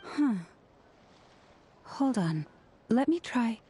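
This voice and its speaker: A woman playing a composed, gracious heroine in an anime-style video game, speaking formally and with gentle care. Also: a little high, very animated, slight background noise, heavily acted. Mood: concerned, relieved. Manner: formal, measured.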